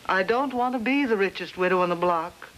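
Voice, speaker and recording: A woman's voice: mid-range voice, fairly quick, natural voice. Anxious genteel mom woman acting in a 50s movie, middle aged, noisy soundtrack. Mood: worried, reproachful. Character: anxious, polite suburban mother and wife. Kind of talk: 1950s film acting. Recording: noisy old film soundtrack.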